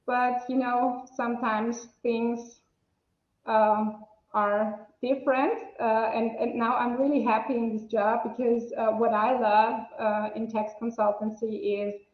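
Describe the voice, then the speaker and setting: A woman speaking slowly on an online conference panel, introducing herself as a tax advisor with halting fillers. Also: fairly high pitch. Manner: slow, halting, laughs lightly. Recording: roomy, dull lo-fi sound.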